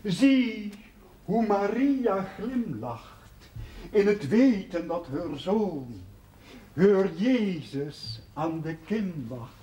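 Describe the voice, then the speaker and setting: An earnest amateur poet in a television drama, his high voice swinging widely as he declaims pompous verse. He takes his time, and there is slight noise on the old soundtrack. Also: expressive, very slow, mellow, heavily acted. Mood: solemn rapture. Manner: theatrical declaiming, hesitant between recitations. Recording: noisy 1970s television soundtrack.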